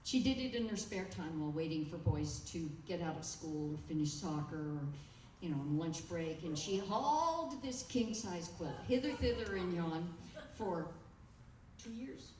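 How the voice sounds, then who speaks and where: A woman presenting informally at a club meeting, telling stories and taking questions in a halting, pausing way. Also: fairly low pitch, expressive, a little mellow. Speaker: presenter at a quilt guild meeting. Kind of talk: informal talk and audience questions. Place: echoey meeting room. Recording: echoey, distant mic, faint room noise.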